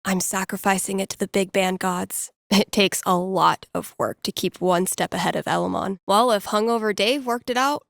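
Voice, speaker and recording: A woman's voice: medium pitch, normal speed, gravelly, hardly any pauses, no room sound, natural voice. A woman voicing a wry, laid-back young DJ in a video game, talking casually to herself with dry sarcasm. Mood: wry, musing. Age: young adult. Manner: dry, sardonic, conversational.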